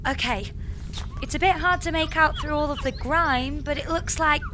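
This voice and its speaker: An actress playing a stranded space engineer in an audio comedy, sarcastic, exasperated and insistent. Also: female voice, high voice, moderate pace, noisy background, lightly acted. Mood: sarcastic, businesslike. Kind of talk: audio comedy drama acting.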